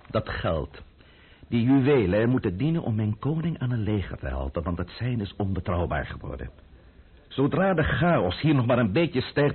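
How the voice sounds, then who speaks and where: Husky, imperious French count. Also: male voice, medium pitch, full character voice. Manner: haughty, lecturing, blustering. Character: imperious, scheming French count and royalist intriguer, impatient, sardonic. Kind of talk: radio play acting. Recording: muffled, narrow-band old radio recording.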